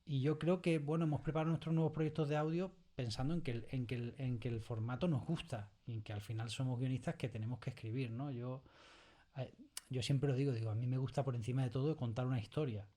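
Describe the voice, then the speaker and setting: Hombre español enfático, de tono medio. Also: male voice, mid-range voice, steady tone, fast talker, dark tone. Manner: emphatic, repeats phrases. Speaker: interview guest, scriptwriter. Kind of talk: casual interview conversation.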